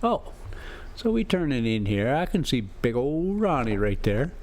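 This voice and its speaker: American man, mid-pitched voice, very lively intonation, folksy casual rambling, sarcastic ranting, travel vlog. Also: a little slow. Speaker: travel vlogger, driver narrating a trip.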